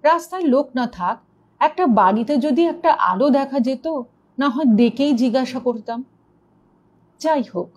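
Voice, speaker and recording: A woman narrating a ghost story in an expressive, suspenseful storytelling manner. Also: a little high. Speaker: story reader.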